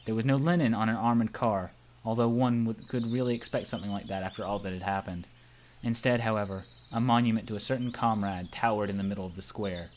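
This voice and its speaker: A man's voice: fairly low pitch, steady tone, quick pace, slight background noise. A man casually reading visual novel narration aloud as part of a group playthrough.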